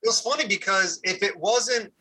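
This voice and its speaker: A man of Puerto Rican background chatting candidly on a podcast, halting and restarting as he talks. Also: sits high, sharp tone, a little hiss or hum. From Puerto Rican family. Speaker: podcast guest. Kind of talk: casual conversation.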